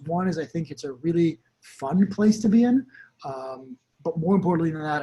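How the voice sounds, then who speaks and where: A man in his thirties hosts a video-call workshop, racing through his points with quick fillers and restarts. His voice sits a touch high and sounds slightly husky. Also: a little mellow, low-quality recording. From Israel. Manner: fast, chatty, frequent fillers and restarts. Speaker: tech speaker leading a webinar. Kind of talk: casual presentation with questions to attendees.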